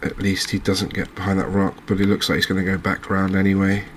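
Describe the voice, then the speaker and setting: A man giving gentle, unhurried ASMR commentary over a cozy fishing game, with hesitant, understated phrasing. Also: sits low, completely flat delivery, a little hiss or hum. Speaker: host, video game streamer.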